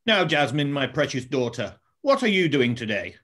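A man's voice: mid-range voice, very animated, normal speed, a bit bright. A man playing an imperious but fond father and emperor in an amateur pantomime read-through, declaiming in a stately way. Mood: fatherly. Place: indoors at home, on a video call.

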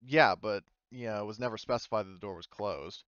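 Man, game master, lowish smooth voice, hesitant thinking aloud, dry slightly dull recording. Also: fairly quick, fairly bright tone, dry recording. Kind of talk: casual narrating of an online tabletop roleplaying game.

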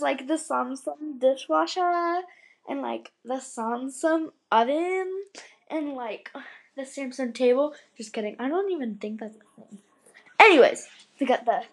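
A young girl filming a house tour on a handheld phone indoors at night, rambling and playful with sudden exclamations. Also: high-pitched. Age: child. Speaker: vlogger. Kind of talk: house tour vlog, casual chat. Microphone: handheld phone camera.

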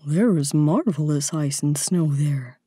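A woman voicing a grave, knowing male reindeer in a storybook, speaking in a measured, proud way. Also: sits low, mellow, slightly rough, no echo, studio quality, voice pushed down. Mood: proud, wistful. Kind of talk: storybook character voice.

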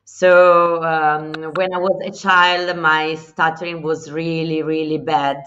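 A woman who stutters chats on a live stream in English, which is not her first language, repeating words as she goes. Her voice sits on the low side. The audio is dull and lo-fi. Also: level tone, takes it slowly. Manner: repeats words, warm and encouraging, laughs easily.

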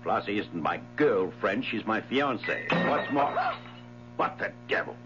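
An actor playing an indignant gentleman in a 1970s radio drama, sharp and dramatic in his delivery. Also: on the low side, wildly swooping pitch, slow, soft background music, full character voice. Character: indignant gentleman, quick to take offence. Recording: muffled 1970s radio recording.

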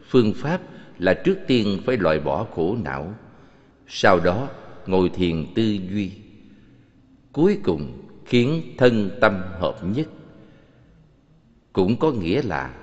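A serene buddha in a cartoon, slow solemn teaching, kinda muffled recording. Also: male voice, low voice, sing-song, heavily acted. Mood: solemn assurance. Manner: measured, solemn, didactic. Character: serene, authoritative male Buddha teaching his disciples. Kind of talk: solemn dubbed scripture teaching. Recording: muffled, long cavernous reverb.

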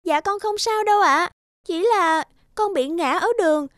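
A woman voicing an anxious, earnest teenage girl in a cartoon, soft, hesitant and polite, with a Northern Vietnamese accent. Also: very high-pitched, normal speed, dry recording, clean studio sound. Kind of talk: animated cartoon dialogue. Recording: music behind the dialogue.

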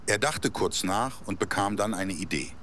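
A man's voice: lowish voice, lively intonation, moderate pace, background noise, lightly acted. A man narrating a humorous scripted audio drama in a storybook style. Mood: measured storytelling.

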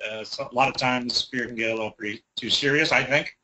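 A man's voice: medium pitch, fairly even intonation, fast, pushed and bright, poor audio. A man from a brewery, chatting easygoing and rambling as a guest, recorded in an office. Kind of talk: casual interview chat.

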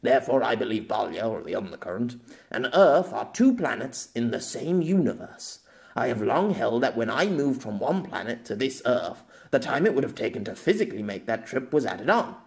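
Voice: medium pitch, big pitch swings, normal speed, vocal fry. A storyteller voicing a patient, world-weary, sceptical man in an audio novel, reasoning in a dry, pedantic way. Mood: patient, explaining.

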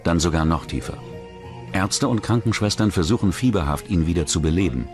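Man, deep voice, scripted documentary narration, true-crime style, music underneath. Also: lively intonation, a little fast. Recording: narration over music bed.

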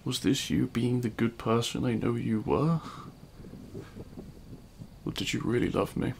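A man playing a remorseful, self-loathing supervillain in an intimate audio roleplay, speaking in a halting, anguished way. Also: medium pitch, vocal fry, a little mellow, slight background noise. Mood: sorrowful resignation. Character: remorseful male supervillain confessing love at a grave.

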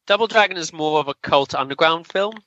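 Bright-voiced man hosting a podcast. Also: on the high side, fairly quick, no echo, lo-fi sound. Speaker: podcast host. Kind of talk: casual podcast chat, presenting.

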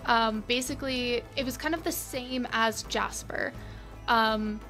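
A woman with a fairly high voice speaks in a casual, conversational way as an interview guest. Her delivery is enthusiastic and expressive, with music playing behind her. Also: measured pace. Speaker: guest tabletop role-playing player.

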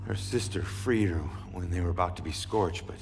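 An actor voicing a gruff, sardonic detective ghost in a video game, with an American accent. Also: male voice, low voice, fairly quick, background noise. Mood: grim, musing. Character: gruff, wry dead detective ghost, adult man. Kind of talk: dramatic video game cutscene acting.

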